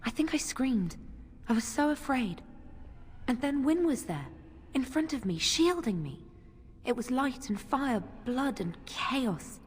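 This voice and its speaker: A woman's voice: sits high, very animated, unhurried, breathy edge, exaggerated voice. A woman playing an anxious, earnest young mage in a video game, speaking with hesitant worry. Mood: shaken. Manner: worried, hesitant. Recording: long hall reverb.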